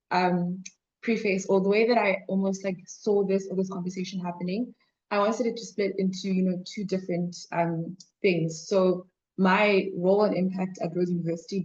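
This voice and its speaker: Young woman, student panelist, halting delivery, dull lo-fi audio. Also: mid-range voice, a little mellow. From Zimbabwe, raised in South Africa. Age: young adult. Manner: hesitant, many fillers like "you know". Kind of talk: panel remarks.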